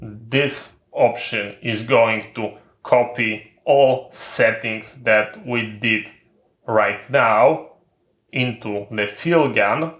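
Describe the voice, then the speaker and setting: Slow, bright-voiced man giving step-by-step instructions. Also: on the low side, echoey room. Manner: methodical, repetitive phrasing in short separated steps. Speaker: tech channel presenter giving a tutorial. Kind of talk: step-by-step instructional narration of a hardware demonstration.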